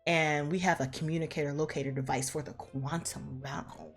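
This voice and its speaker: A woman acting out an earnest, self-important young activist daughter in an affected, deliberate way during a comic film retelling. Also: low voice, soft background music, lightly acted.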